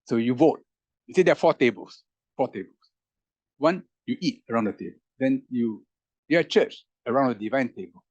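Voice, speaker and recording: A man's voice: mid-range voice, normal speed, darkish tone, dry recording. A man speaking as a roundtable panelist, musing through rhetorical questions with emphatic rises in his voice. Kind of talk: animated reflective public talk.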